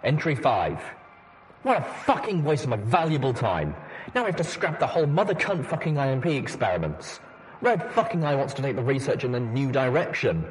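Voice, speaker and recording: A man's voice: mid-range voice, sing-song, a little fast, a little hiss or hum, voice pushed up, close to an everyday voice, low-quality recording. A man voicing a bitter, irritated pony scientist dictating a sarcastic journal in an audiobook. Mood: bitter, exasperated. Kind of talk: audiobook character voice.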